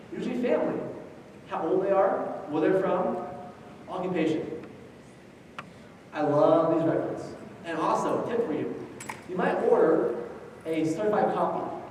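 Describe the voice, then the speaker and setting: A man's voice: high voice, mellow. A man presenting an informal instructional lecture at a conference, speaking conversationally in short, choppy phrases. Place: large echoey indoor room, live talk. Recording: echoey hall, distant mic, faint noise.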